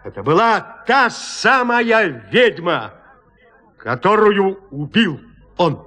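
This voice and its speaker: A man narrating classic literature in an expressive, deliberate, theatrical manner. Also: high voice. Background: music.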